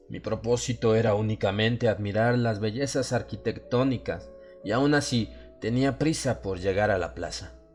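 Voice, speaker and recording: A man narrating a horror story in the first person, with a measured, literary, dramatic delivery. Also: lowish voice, darkish tone. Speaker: audiobook narrator. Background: music under the voice.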